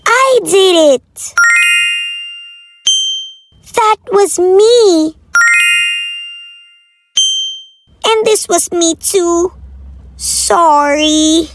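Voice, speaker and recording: A woman's voice: very high voice, extremely slow, long pauses, loud music behind the voice, pitched above the performer's own voice, exaggerated voice. A woman voicing an earnest, emphatic small girl in a children's storybook. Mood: sheepish confession. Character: small guilty girl telling a tall tale. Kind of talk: children's storybook read-aloud. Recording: faint background noise.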